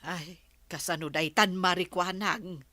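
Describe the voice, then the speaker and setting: A woman's voice: lowish voice, a little slow, pushed and bright. A woman playing a chatty village housewife in an Ilocano audio drama, with an Ilocano accent. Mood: teasing, chatty.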